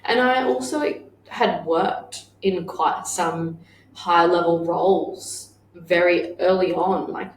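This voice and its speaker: A woman in her thirties, a business owner co-hosting a casual podcast chat, blunt and quick to swear and laugh. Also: fairly low pitch, fairly even intonation. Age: 30s. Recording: echoey room with faint noise.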